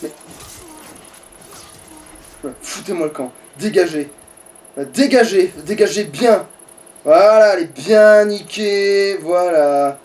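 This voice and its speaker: A man's voice: sits high, takes it slowly, pauses often, a little mellow, soft background music. A man chatting casually as he plays a game, swearing and taunting his enemies. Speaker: let's player. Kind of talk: casual gameplay chat.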